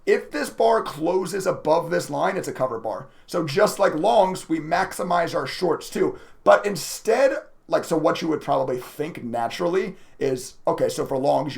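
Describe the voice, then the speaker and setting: A man with a raspy voice chatting casually to viewers as a livestreaming day trader, emotive and talkative. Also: fairly high pitch. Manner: chatty, emotive, laughs often. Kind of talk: casual live stream commentary to viewers.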